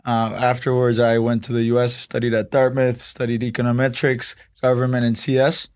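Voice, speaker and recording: A young man from Honduras talks as a guest on a podcast, his voice sitting at an ordinary medium pitch. He explains things step by step in a relaxed way, with plenty of "um" and "like" between his points. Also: fairly quick, smooth. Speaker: startup co-founder, guest. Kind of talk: casual podcast interview.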